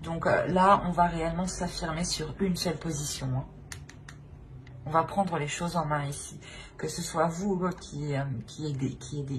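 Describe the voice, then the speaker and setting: A woman giving an improvised tarot reading, speaking directly to the listener in hesitant, repetitive phrases. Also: low-pitched. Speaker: tarot reader. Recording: clear background noise.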